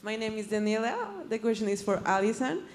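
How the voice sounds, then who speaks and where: A woman's voice: on the high side, very animated, a little fast, long reverb tail. A woman in an audience putting a brief question to a panel.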